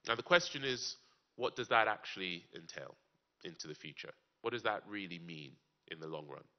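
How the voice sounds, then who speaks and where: Man with a really deep voice giving a conference talk, slow deliberate rhetorical questions, kinda dull muffled recording. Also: animated. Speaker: conference panel speaker. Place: conference in Germany. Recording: dull lo-fi sound.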